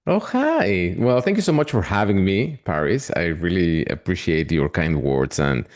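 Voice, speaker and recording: A man's voice: low-pitched, very animated, moderate pace. A man with a Latin American Spanish accent, speaking in a webinar interview as an AI consultant, friendly and hesitant with many fillers. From Argentina.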